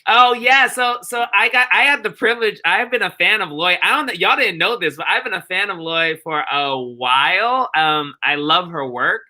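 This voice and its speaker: A man giving reflective interview answers as a theatre director, earnest and deliberate, repeating phrases rhythmically for emphasis. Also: sits high, lively intonation, bright, forceful tone.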